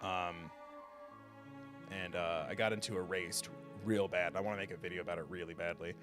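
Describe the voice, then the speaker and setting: A man hosting a video game livestream, chatting casually and haltingly with viewers in a self-deprecating, joking way. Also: low-pitched, fairly even intonation, fairly bright tone. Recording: music behind the voice. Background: music.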